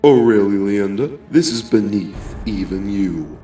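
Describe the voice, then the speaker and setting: A man's voice: on the low side, animated, measured pace, mellow. A man playing a stern, proud old echidna great-grandfather full of reproach in a comic dub. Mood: stern, dismissive. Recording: echoey, distant, music underneath.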